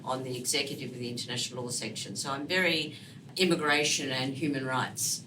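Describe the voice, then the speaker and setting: A woman's voice: very deep voice, steady tone, brisk, recorded from a distance, background noise. An older woman with an Australian accent, giving conversational answers as an interview guest. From Melbourne, Australia. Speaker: podcast guest, immigration lawyer.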